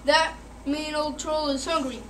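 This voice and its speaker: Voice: high-pitched, fairly even intonation, unhurried. A child performer playing the cautious eldest billy goat brother in a school readers theater. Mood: worried, warning. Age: child, about eight or nine. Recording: noisy room, far from mic.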